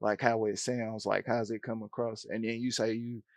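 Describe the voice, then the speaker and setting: A man hosting a casual music interview, laid-back and animated, speaking in slang with quick laughs and frequent yeahs. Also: on the low side, no echo. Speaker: show host, interviewer.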